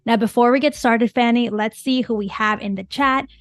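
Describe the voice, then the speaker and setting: Bright-voiced upbeat woman livestream host. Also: a little high, moderate pace. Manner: enthusiastic, reads chat names aloud.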